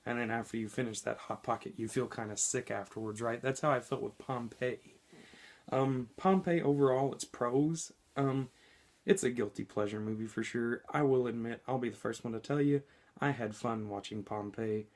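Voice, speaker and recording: A man talks through a movie review while sick, his voice hoarse and a little scratchy. He keeps it loose and conversational at a middling pitch, often pausing on an um or correcting himself mid-sentence. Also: a little mellow. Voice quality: slightly scratchy. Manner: chatty and informal, frequent ums and self-corrections. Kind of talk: casual spoken movie review.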